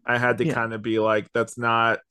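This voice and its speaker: A man's voice: mid-range voice, monotone, moderate pace, pushed and bright, clear, resonant tone, dry recording. A young man co-hosting a podcast, giving a casual, rambling conversational review. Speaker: podcast co-host.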